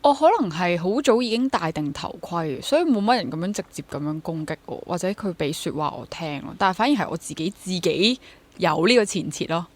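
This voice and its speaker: A woman's voice: lowish voice, lively intonation, fairly quick. A woman in her twenties with a Hong Kong accent, speaking candidly and reflectively in a casual interview, mixing in English words. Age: 20s. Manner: candid, self-questioning, mixes English words into sentences. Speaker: interview guest, freelance theatre actor and drama teacher.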